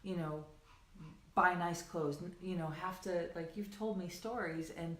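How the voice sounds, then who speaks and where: A woman's voice: on the low side, fairly even intonation, unhurried, a bit bright. A woman co-hosting a coaching livestream, chatting casually and enthusiastically to her online audience in a gushing, rambling way, often checking in as she goes. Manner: giggly, gushing, rambling with frequent "right" and "like". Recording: roomy, echoing sound.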